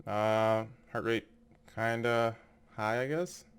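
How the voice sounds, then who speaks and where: A man's voice: lowish voice, slow, clear, resonant tone. A man doing casual video game commentary, reading on-screen text aloud and musing tentatively as he goes. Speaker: gameplay video narrator. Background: game music.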